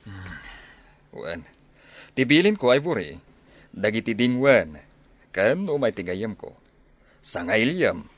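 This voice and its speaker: A man's voice: lowish voice, very animated, slow pace, long pauses, dry recording, exaggerated voice. A man playing a village father and farmer in an Ilocano radio drama. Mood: matter-of-fact.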